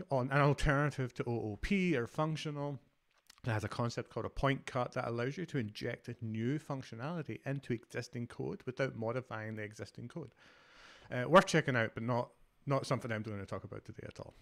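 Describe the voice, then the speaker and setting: A man's voice: medium pitch, gravelly. A Scottish man from Glasgow in his thirties, giving an enthusiastic, conversational conference talk over a video call. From Scotland. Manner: enthusiastic, conversational, many fillers. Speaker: conference speaker, developer advocate.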